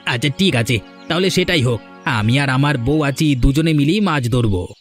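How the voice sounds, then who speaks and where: A man's voice: medium pitch, normal speed, soft background music, lightly acted. A man voicing a greedy, scheming village man in a cartoon, brash and mocking in tone. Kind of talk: cartoon voice acting.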